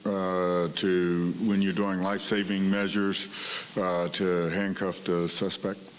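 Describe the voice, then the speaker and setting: A man on a review board asking polite, halting questions with many filler sounds. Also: low voice, little pitch movement, clean tone. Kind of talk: questioning at a public meeting.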